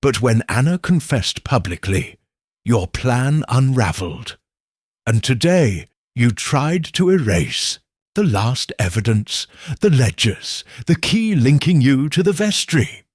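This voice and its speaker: Cool, exacting detective, read by a man, measured and certain, husky, unhurried, animated delivery. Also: mid-range voice, no room sound, clean studio sound, full character voice. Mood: accusing. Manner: measured, coldly certain, deliberate. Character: cool, exacting male detective, middle-aged. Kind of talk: audiobook character reading.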